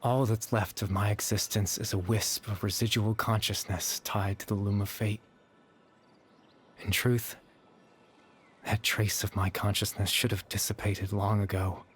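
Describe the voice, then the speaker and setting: A man playing a gentle, sorrowful young man in a video game, speaking in a soft, measured, confessional way. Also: lowish voice, level tone, slight background noise, lightly acted. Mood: wistful, resigned. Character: gentle, sorrowful young man, a fading remnant consciousness at peace. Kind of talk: scripted video game voice acting. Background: background music.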